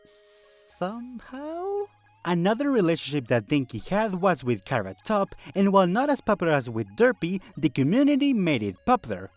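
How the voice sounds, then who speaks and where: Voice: medium pitch, wildly swooping pitch, normal speed, dry recording, faint music behind. A video essayist narrating a scripted fandom video in a conversational way, with rhetorical questions and wry asides.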